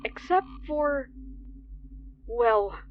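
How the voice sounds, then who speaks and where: A woman's voice: high-pitched, expressive, very slow, highs cut off, slight background noise, exaggerated voice. A woman playing a frightened radio DJ trapped overnight in a horror audio drama, speaking in a shaky, hesitant way. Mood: shaken, dread.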